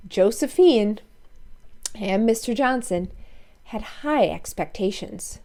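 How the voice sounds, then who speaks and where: A woman's voice: on the high side, warm tone. A woman reading a children's story aloud expressively, with playful asides to listeners. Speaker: storybook read-aloud narrator.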